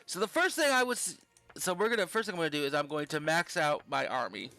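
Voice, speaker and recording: A man's voice: fairly high pitch, lively intonation, fast talker, gravelly, bright, forceful tone, soft background music. A young man giving casual, rambling commentary while playing a game, swearing offhandedly now and then. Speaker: gaming channel host.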